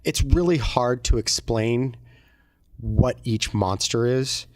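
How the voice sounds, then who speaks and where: A man's voice: mid-range voice, slow pace, dry recording, clean studio sound. A man co-hosting a podcast, chatting casually and giving his opinions on films. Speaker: podcast co-host. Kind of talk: casual chat, film opinions.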